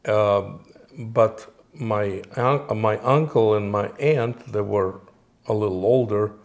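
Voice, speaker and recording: Gravelly man from Moldova, halting. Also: fairly low pitch. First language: Romanian. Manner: halting, many fillers and restarts. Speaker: interview guest. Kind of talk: casual interview answers.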